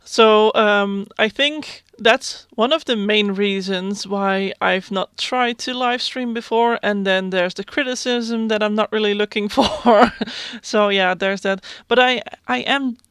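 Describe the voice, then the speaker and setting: A woman's voice: medium pitch, a little slow, clear, resonant tone, no echo, studio quality. A woman in her thirties with a Dutch accent, chatting in a casual voiceover, hesitant and self-conscious, with many fillers and restarts. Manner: self-conscious, soft-spoken. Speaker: YouTube Sims builder. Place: home.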